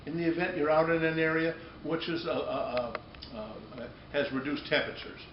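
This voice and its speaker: A middle-aged or older man giving an unscripted product demonstration, often repeating and rephrasing himself in a halting way. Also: fairly high pitch. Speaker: company presenter demonstrating a product. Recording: echoey room, dull muffled audio, noticeable background noise.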